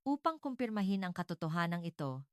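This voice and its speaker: A woman narrates a scripted dramatic story in the first person. Her voice is smooth and a little low for a woman. She speaks formally and earnestly, in a close, dry recording. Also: very animated, brisk, no room sound.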